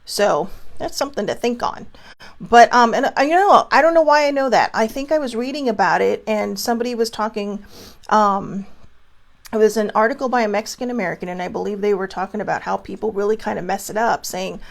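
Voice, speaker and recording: A woman's voice: medium pitch, fairly quick, creaky. A woman from Hawaii hosting a casual vlog, talking to her viewers in a chatty, meandering way with lots of fillers and restarts. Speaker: vlog host. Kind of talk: casual rambling monologue to viewers.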